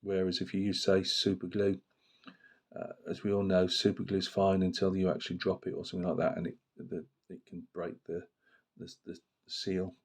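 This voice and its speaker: A man with a slight cold and a hoarse voice, chatting casually about his hobby to camera and rambling with many fillers and restarts. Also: sits low, completely flat delivery, moderate pace. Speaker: miniature painting channel host.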